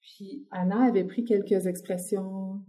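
A middle-aged woman from Quebec with a Québécois accent, talking casually as an interview guest and pausing often on fillers. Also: medium pitch, fairly even intonation, dark tone. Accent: Québécois French. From Quebec, Canada. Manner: hesitant, many fillers. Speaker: guest artist.

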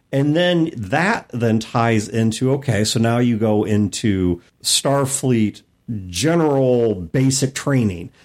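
A man's voice: mid-range voice, darkish tone. A man co-hosting a podcast, explaining tabletop gaming in a casual, detailed way. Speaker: podcast co-host. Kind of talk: casual panel discussion of tabletop role-playing games.

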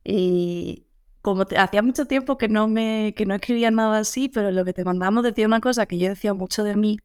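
A woman's voice: mid-range voice, fast talker, darkish tone, clear, resonant tone. Joking Andalusian-born woman guest. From Andalusia, Spain. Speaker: comic author, guest. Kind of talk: casual radio interview.

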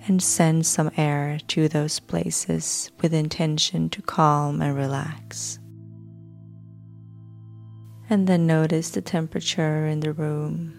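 Female sleep-hypnosis guide: soft, soothing delivery, unhurried pace, noticeable vocal fry, music underneath. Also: sits low. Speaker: podcast host guiding sleep hypnosis. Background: background music.

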